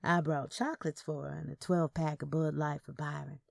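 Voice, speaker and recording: A woman's voice: deep voice, level tone, a little fast, creaky, dry recording, studio quality. A woman narrating a first-person story, with character dialogue acted out. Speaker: narrator of audio fiction.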